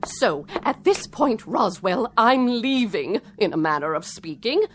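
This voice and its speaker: An actress playing a fed-up, dignified middle-aged wife with arch, biting sarcasm in theatrical radio drama style. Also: female voice, a little high, very animated, measured pace, over music. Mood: bitterly sardonic.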